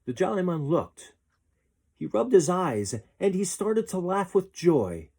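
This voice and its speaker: A man reading a children's story aloud in an expressive narrating style. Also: high voice, measured pace, vocal fry, breathy edge. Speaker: storybook reader.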